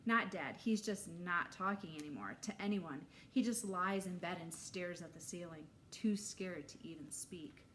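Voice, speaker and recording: A woman voicing a bald older man telling spooky, suspenseful camp tales with drawn-out drama in a children's storybook. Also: lowish voice, fairly bright tone, slight background noise. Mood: ominous. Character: bald older male camp storyteller, ominous yet cheery.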